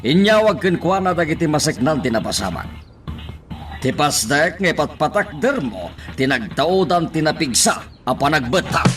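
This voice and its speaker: A man's voice: on the high side, sing-song, normal speed, fairly bright tone, faint music behind. A man acting an urgent, emphatic townsman in a radio drama. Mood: serious. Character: Leon, urgent and animated man of the town.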